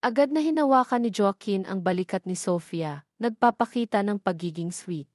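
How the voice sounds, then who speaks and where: A Filipino woman narrates a scripted romance drama at a medium pitch. Her delivery is that of a storyteller reading prepared text, recorded very dry with no room sound around the voice. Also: lively intonation, normal speed, a little mellow, no echo.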